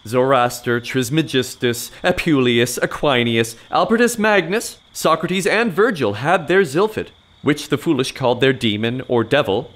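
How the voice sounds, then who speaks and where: Man acting male bogus mystic, audio farce, medium pitch, highly animated intonation, declamatory, authoritative, lofty. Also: normal speed, hardly any pauses, close to an everyday voice. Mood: grandiose. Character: smooth-talking male con man posing as grand learned mystic. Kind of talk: theatrical farce acting in period English.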